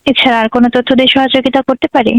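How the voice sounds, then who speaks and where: A woman's voice: high voice, level tone, very fast, a bit bright, no room sound, poor audio. A young Bangladeshi woman working as a customer service agent, speaking in a polite, formulaic, formal way on the phone.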